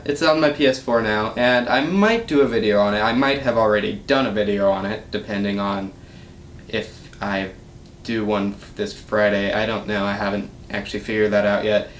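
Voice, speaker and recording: A young man talks casually to his viewers in the rambling style of a gaming channel host. His pitch is average for a man. The recording is made in a small room, with clear echo and a faint low rumble behind him. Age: young adult. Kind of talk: casual rambling vlog update.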